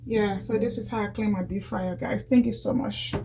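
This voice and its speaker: A woman's voice: mid-range voice, steady tone, moderate pace, creaky, dark tone. A woman chatting casually in a home how-to vlog, repeating herself and calling her viewers guys. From Liberia. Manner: chatty and repetitive, often addresses viewers as guys. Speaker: YouTuber demonstrating cleaning. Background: background music.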